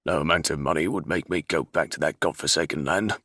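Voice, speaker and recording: A man voicing a gruff, wary older ship captain who growls his words. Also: extremely low pitch, fairly quick, fairly bright tone, no echo, clean studio sound, heavily acted.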